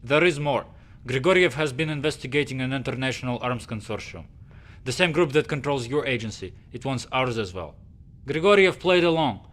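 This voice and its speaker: A man's voice: medium pitch, animated, a little fast, a bit bright, lightly acted. An actor playing a formal male intelligence officer giving a briefing in a game cutscene.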